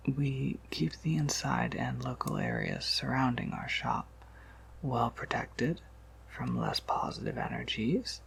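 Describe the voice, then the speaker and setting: A man playing an ancient, courteous vampire apothecary keeper in an ASMR roleplay, in a breathy, gravelly whisper with a hesitant, unhurried manner. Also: medium pitch, huge pitch swings, heavily acted. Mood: gentle, welcoming. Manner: hesitant, unhurried, softly chuckling asides.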